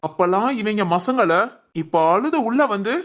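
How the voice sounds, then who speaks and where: A woman's voice: medium pitch, lively intonation, moderate pace, lightly acted. A woman playing a bitter, angry middle-aged father in a family audio drama, scolding and accusing. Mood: scornful.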